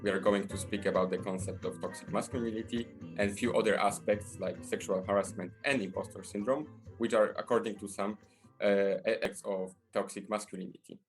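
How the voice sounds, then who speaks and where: Low-voiced Polish man hosting a podcast. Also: steady tone, brisk, faint music behind. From Poland. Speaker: podcast host. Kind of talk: scripted podcast presenting.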